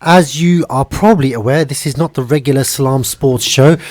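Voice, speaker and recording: A man hosting a live community radio show in a studio, talking in a lively, hurried, upbeat way. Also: medium pitch. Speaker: radio host.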